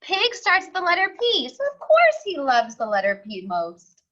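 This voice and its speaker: A woman reading a storybook aloud to young children, expressive and singsong, pausing to explain and ask questions. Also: very high voice, dull audio.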